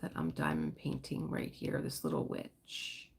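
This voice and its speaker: A woman hosting a relaxed livestream, chatting in a rambling way while she crafts and answers viewers. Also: extremely low pitch, measured pace, vocal fry, breathy edge. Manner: rambling, chatty, laughs often, reads and answers viewer comments. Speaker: livestream host. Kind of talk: casual livestream chat while diamond painting.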